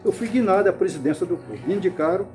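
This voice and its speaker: Older man reminiscing, mellow voice. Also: sits high, expressive, faint music behind. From Brazil, Bahia. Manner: unhurried, with frequent restarts and repeated words. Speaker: interviewee, club founder. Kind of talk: reminiscing interview.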